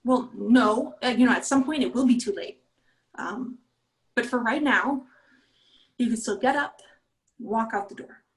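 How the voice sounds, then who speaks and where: Actress as young woman heist ringleader, slightly high voice, earnest n casual, table read. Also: unhurried, pauses often, low-quality recording. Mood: earnest, resolute. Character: determined young woman leading a heist crew.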